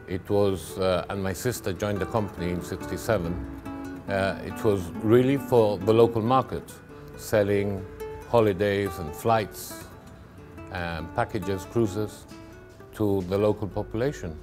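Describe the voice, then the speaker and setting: A middle-aged man recalling his family business in a documentary interview, halting and repeating words as he goes. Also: on the low side.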